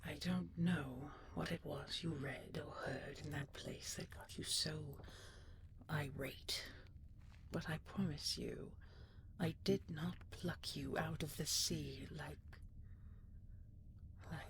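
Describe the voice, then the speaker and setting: A woman playing a tender, regal dragon queen in an intimate audio roleplay, soft and breathy, often dropping to a whisper, with gentle, halting endearments. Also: low-pitched, fairly even intonation, noisy background, full character voice. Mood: tender, earnest. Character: tender, regal female dragon queen consoling her beloved. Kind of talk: intimate audio roleplay, sleep-aid storytelling.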